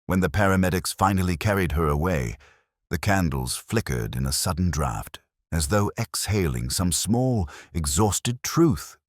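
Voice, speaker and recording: A man narrating a scripted first-person detective story in a measured, solemn, literary manner. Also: low-pitched, no room sound, clean studio sound.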